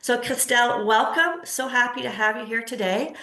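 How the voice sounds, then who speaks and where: A woman with a high, bright voice hosts a live class session over a video call, introducing her guest with plenty of "uh"s. Her voice rings in a roomy, echoey space. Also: moderate pace. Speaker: host. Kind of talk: live online class interview.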